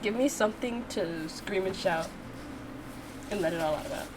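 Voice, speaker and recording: A woman giving blunt, slangy, expressive reactions as she plays through a game as a channel host. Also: medium pitch, moderate pace, rough recording. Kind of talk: playthrough reaction, reading dialogue aloud with casual commentary. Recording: music behind the voice. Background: music.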